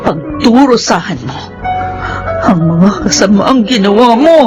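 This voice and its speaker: A woman's voice: mid-range voice, animated, a little slow, lightly acted. A woman with a Filipino accent playing a wronged, anguished and defiant wife in a melodramatic radio drama. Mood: sorrowful, resolute. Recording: loud dramatic music behind the voice.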